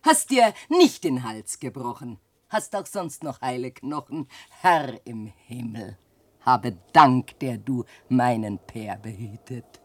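A woman's voice: rumbling low, lively intonation, takes it slowly, a little mellow, dry recording, heavily acted. An actress playing an old peasant widow and mother who scolds and laments in declamatory verse in a radio drama. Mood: relieved, anxious. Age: older. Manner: declamatory, nagging and wailing, dramatic swings.

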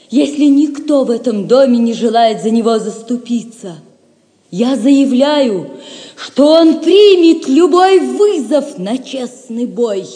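A woman's voice: high-pitched, warm tone. A woman acting a dignified, gracious young noble lady in a theatrical audio drama, speaking in a stately, formal manner. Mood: resolute.

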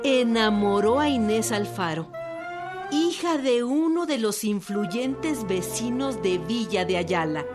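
A woman's voice: a little high, animated, slow. A woman with a Mexican accent narrating a historical radio documentary from a script. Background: instrumental music, fiddle.

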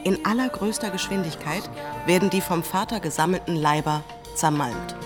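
A woman narrating history in a scripted radio play, measured and dryly ironic, as one half of a dialogue. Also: lowish voice, over loud music, lightly acted.

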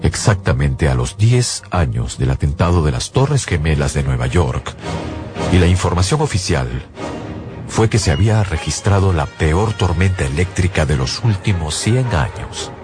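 A man's voice: low-pitched, expressive, normal speed. An actor playing a haunted survivor, a husband and father, narrating a radio drama as grave first-person testimony over music. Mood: solemn, bitter. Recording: loud music bed behind the voice.

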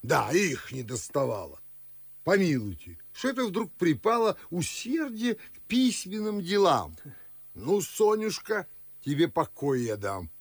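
A pompous middle-aged gentleman in a radio play of classic verse, scolding and suspicious. His voice is on the high side and swings widely in pitch. He draws his words out slowly and theatrically, in a close, dry sound. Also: sing-song, husky, no echo, exaggerated voice. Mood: sardonic, grumbling. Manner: grumbling, pompous, theatrical drawn-out delivery.

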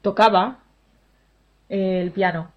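A woman's voice: mid-range voice, steady tone, slow. Chatty cheerful woman, lo-fi radio sound. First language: Spanish. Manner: cheerful, chatty, laughs often. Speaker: opera singer. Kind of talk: casual interview answers.

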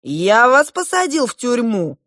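A woman's voice: medium pitch, lively intonation, measured pace, no room sound, studio quality, close to an everyday voice. A woman voicing a boastful, imperious elder prince in an audiobook, with grand exclamatory delivery. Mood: indignant.

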